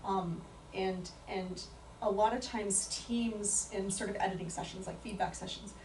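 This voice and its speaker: A woman's voice: medium pitch, steady tone, unhurried, darkish tone. A woman speaking at a book talk, answering an audience question thoughtfully with many fillers and restarts. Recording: echoey room from far off with faint noise.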